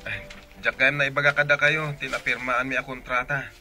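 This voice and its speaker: A man's voice: mid-range voice, flat delivery, fairly quick, sharp tone, faint music behind. A man playing a devoted, earnest adult son in a radio drama, with an Ilocano accent.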